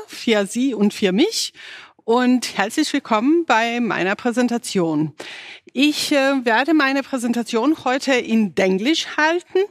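A woman's voice: a little high, dry recording, studio quality. A woman with a non-native German accent giving a conference presentation. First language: not German. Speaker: conference speaker, engineering manager. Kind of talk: presentation talk.

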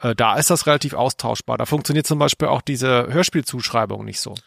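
A man's voice: medium pitch, brisk, gravelly, a bit bright, no echo, studio quality. A middle-aged man co-hosting a podcast in casual chat, a little hesitant and self-deprecating. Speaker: podcast co-host.

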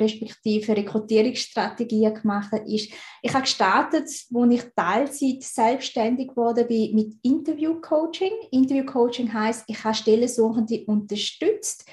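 A woman's voice: on the high side, a little mellow. Interview guest: Swiss German woman, 30s, raised voice, chatty, roomy sound. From Switzerland. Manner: chatty, laughs easily, frequent restarts. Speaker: guest, recruiting consultant. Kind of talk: casual interview talk. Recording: roomy echo.

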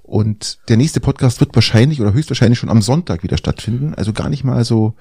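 A man's voice: mid-range voice, fast talker, warm tone, breathy edge, no echo, clean studio sound. A middle-aged man with a stuffed-up cold, co-hosting a podcast in a rambling, hesitant way. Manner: rambling, hesitant with many fillers. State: congested with a cold. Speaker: podcast co-host. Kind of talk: casual chat.

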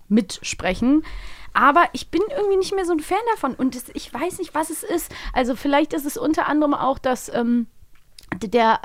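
Higher-voiced woman chatting casually, close and dry. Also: no room sound. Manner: animatedly quotes and mimics lines. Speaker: podcast co-host; voice actress. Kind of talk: casual chat about radio plays.

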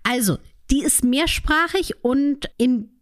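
A woman with a somewhat higher voice hosts a conversational interview. She asks questions in a chatty, engaged way and mixes in her own experience. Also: takes it slowly. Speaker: host.

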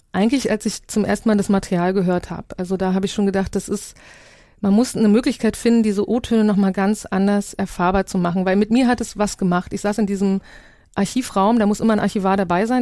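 A woman's voice: mid-range voice, fairly even intonation, fairly quick, dark tone, dry recording, clean studio sound. A woman speaking as an interview guest, reflective and searching for words as she recounts her own project. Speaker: interview guest, audio documentary maker.

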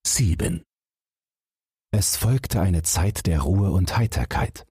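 A man reads a scripted fairy tale as a bedtime storyteller, measured and expressive. His voice is low and very husky, and his melody moves widely as he takes his time. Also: dry recording, clean studio sound. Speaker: audiobook narrator.

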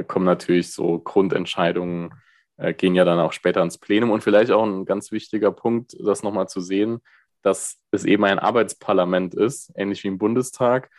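A man's voice: sits low, darkish tone, no room sound, studio quality. A man hosting a casual political podcast, chatty and explanatory with many fillers, recorded remotely. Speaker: podcast host asking questions.

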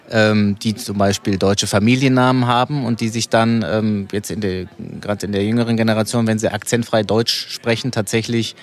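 Male professor type, lowish voice, careful halting explanations, lots of qualifying. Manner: measured, hesitant, qualifying statements. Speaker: academic researcher, interview guest. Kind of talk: unscripted expert explanation.

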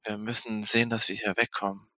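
A man's voice: fairly low pitch, flat delivery, fast, bright, forceful tone, dry recording. A man playing a wary, alert vampire enforcer in an audio drama, talking in short, hesitant phrases. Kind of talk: hobby audio drama dialogue.

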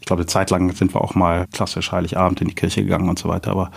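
A middle-aged man, answering personal questions as a guest in a relaxed interview. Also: low voice, very fast, creaky, slightly rough. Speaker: company founder and CEO, interview guest.

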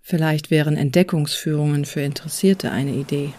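Dark, mellow woman narrating. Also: low-pitched, fairly even intonation, a little fast, a little hiss or hum. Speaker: podcast host narrator. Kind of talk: scripted reflective narration.

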